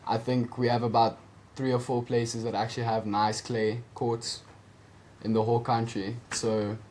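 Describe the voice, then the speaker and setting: A teenage man with a South African accent and Afrikaans as his first language talks over a video call. He answers casually and haltingly, filling pauses with ums. A faint hum of background noise sits under his voice. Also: mid-range voice, level tone, a little mellow. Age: teens. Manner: hesitant, lots of ums, friendly. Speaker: guest, junior tennis player.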